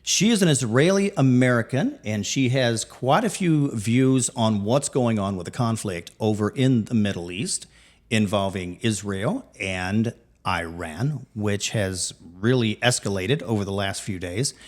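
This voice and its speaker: Male host, somewhat low voice, halting questions, repeats words often. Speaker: talk show host. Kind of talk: interview, asking long questions.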